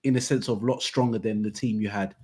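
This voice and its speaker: A man's voice: on the low side, steady tone, a little fast, a little mellow, breathy edge. A man from hosting a casual football debate, rambling and restarting his sentences as he argues. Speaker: football podcast host.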